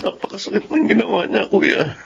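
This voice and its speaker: A man's voice: high-pitched, sing-song, a little fast, creaky, fairly bright tone, heavily acted. A man playing a hurt, trusting husband and younger brother in an emotive Filipino radio drama, earnest and wounded. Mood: sorrowful. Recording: dull lo-fi audio, faint noise.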